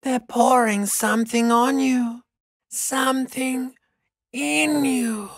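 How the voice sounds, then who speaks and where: A man voicing a sinister, sneering primal brain in a video game, with a raspy, hissing half-whisper and drawn-out theatrical delivery. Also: very high voice, fairly even intonation, no echo, studio quality, long reverb tail, exaggerated voice. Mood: morbid, taunting. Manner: slow, theatrical, drawn-out, swelling from whispers to cries. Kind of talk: video game voice acting, menacing monologue.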